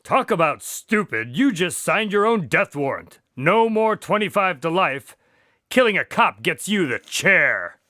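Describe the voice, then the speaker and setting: An actor playing an indignant, excitable detective's partner who tells stories in a radio drama. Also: male voice, high-pitched, measured pace, no echo, studio quality, exaggerated voice. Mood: defiant.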